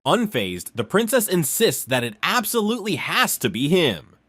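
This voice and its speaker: A man's voice: high-pitched, wildly swooping pitch, moderate pace, fairly bright tone, no room sound, clean studio sound. A man reading scripted story narration as a recap narrator.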